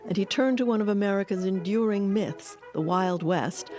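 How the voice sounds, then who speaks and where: A woman narrates a documentary in a scripted style, pitched in the middle range for a woman. Faint music plays under her voice. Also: normal speed, a little mellow.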